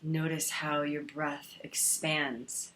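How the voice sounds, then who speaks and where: Slow, low-voiced woman guiding meditation. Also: low-quality recording. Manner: calm, soothing, with long pauses. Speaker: meditation teacher. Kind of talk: guided meditation.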